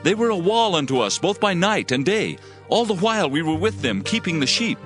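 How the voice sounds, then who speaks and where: A young servant in an audio Bible drama, earnest and worried. His high voice swings up and down widely as he pleads with urgency. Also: a bit bright. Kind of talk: dramatized audio Bible acting in archaic English.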